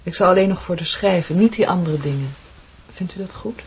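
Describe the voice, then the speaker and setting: Fragile young woman, radio drama, slightly low pitch, breathy, hesitant, polite, uneasy. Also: a little fast. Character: fragile, anxious young niece, polite but insistent.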